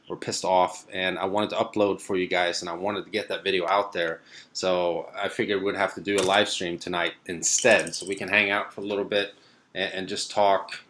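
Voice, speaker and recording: A young man from Sweden with a lowish voice, chatting on a live stream. He reads out viewer comments and answers them in an easygoing, meandering way, stopping for plenty of ums and uhs. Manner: relaxed and rambling, many fillers. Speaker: car vlogger hosting a live stream.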